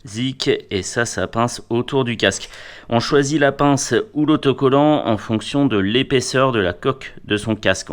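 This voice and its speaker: A man's voice: medium pitch, clear, resonant tone. A man giving a casual hands-on product review, playful and wry, often talking to himself and to the gear.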